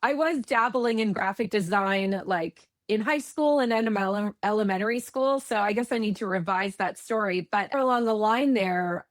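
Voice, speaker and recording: Canadian woman just chatting, thinks out loud, fixes her own story, dead dry sound. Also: medium pitch, no echo. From eastern Canada. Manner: chatty, reflective, self-correcting. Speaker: guest, UX expert. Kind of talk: conversational interview.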